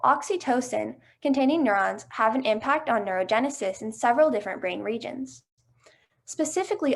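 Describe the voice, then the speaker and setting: Young woman reading science slides. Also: on the high side. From Kentucky. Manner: steady, careful scientific reading. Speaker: student presenter. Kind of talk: read research presentation.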